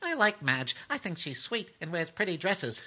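An adult performer voicing a frightened little girl in a dark comedy sketch, whimpering and pleading in a childlike way. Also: medium pitch, sing-song, normal speed. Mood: sweet.

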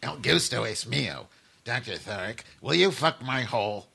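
Man playing a gravelly, theatrical unhinged eccentric. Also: mid-range voice, lively intonation, sharp tone, heavily acted. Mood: lewd insistence. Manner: theatrical, florid, drawn-out delivery. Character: lewd, unhinged split personality, theatrical and needy. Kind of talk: dark comedy sketch acting.

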